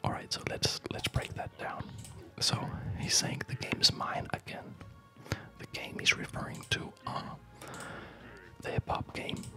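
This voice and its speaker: A man speaking in a breathy ASMR whisper, explaining things casually in a halting, digressive way. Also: sharp tone, faint music behind. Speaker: ASMR video host.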